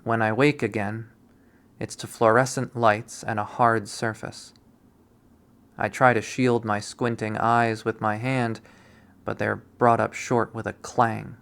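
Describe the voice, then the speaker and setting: A man's voice: fairly low pitch, level tone, slow pace, creaky, a bit bright. Wry young man reading a story. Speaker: narrator reading a visual novel. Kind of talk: first-person story reading.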